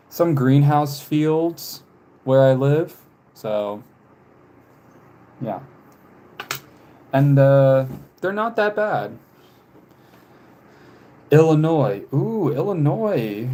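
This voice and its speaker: Slow-talking young man chatting on a stream. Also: mid-range voice, dark tone. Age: 20s. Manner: rambling, laughs often, reads chat messages aloud. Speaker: live streamer. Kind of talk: casual chat while drawing on a live stream.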